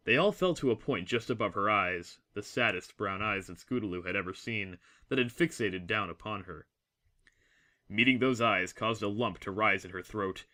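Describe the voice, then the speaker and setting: A man narrates a dramatic story in a fairly low voice with a bright, forceful edge. His delivery is measured, literary and solemn.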